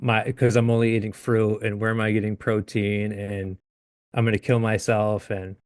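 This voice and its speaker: A man in his late thirties, a guest in a casual interview, talking in a halting way with frequent restarts and easy laughs. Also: on the low side, flat delivery, no echo. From Upstate New York. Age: 30s.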